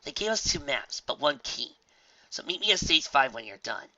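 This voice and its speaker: A man's voice: on the high side, very animated, normal speed, sharp tone, dry recording, highs cut off, pitched above the performer's own voice, heavily acted. A man voicing an easygoing, sociable female unicorn musician in a storybook reading, casual and polite. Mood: relaxed, friendly.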